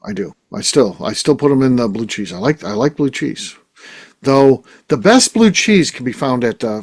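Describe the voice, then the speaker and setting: A middle-aged man hosts a loose chat, his pitch swinging up and down as he jokes and teases. He often restarts and fills with "uh". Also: breathy edge. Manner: animated, jokey, many restarts and fillers. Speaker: host. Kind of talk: casual roundtable chat.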